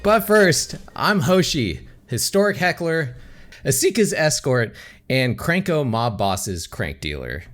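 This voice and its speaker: A young man hosting a casual podcast, his pitch swooping up and down as he jokes and laughs through the banter. His voice sits in an ordinary middle register, loose and playful. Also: very animated, slow, a bit bright. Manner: joking, laughs often, goofy theatrical openings. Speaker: podcast host.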